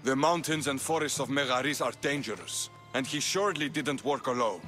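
An actor playing a stern, suspicious Spartan army officer in a video game cutscene, with a clipped, commanding manner. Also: male voice, medium pitch, a little fast, sharp tone, close to an everyday voice. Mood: stern, menacing. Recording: game dialogue over background music.

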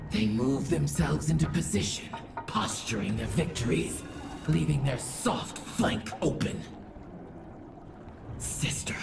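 A woman playing a vengeful, grieving alien war goddess in a video game, delivering a grandiose, theatrical monologue in a rasping voice. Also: very deep voice, full character voice. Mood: cold contempt. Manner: grandiose, slow, theatrical, swinging from whispers to shouts. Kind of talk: dramatic game audio-log monologue. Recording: echoey, distant voice with music underneath.

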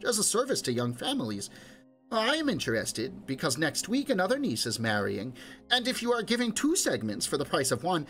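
Haughty old aunt, shrewd and put out, raised pitch, man reading. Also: fairly bright tone, heavily acted. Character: older shrewd aunt, a valued customer.

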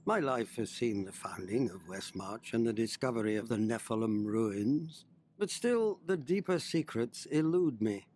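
A man's voice: mid-range voice, animated, unhurried, darkish tone, close to an everyday voice. An actor playing a proud, driven conqueror king in a video game, solemnly and theatrically reading out his journal. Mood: weary, solemn. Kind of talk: dramatic in-character journal narration. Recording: clearly noisy ambient game background. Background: game ambience.

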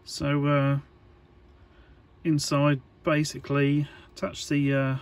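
A man giving an informal hands-on tutorial, talking in a halting, hesitant way as he thinks aloud. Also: fairly high pitch, little pitch movement. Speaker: electronics hobbyist presenter.

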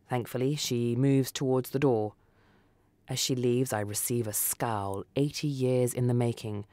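A woman's voice: very deep voice, mellow, no echo. A woman reading a first-person short story for radio as scripted narration. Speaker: story reader.

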